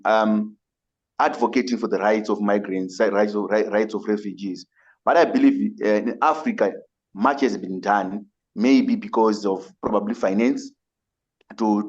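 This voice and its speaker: A man with a Zimbabwean accent speaking up as a migrant rights advocate in a webinar. He is earnest and halting, and often repeats and rephrases himself as he goes. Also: on the low side, darkish tone, muffled recording. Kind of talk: webinar audience comment.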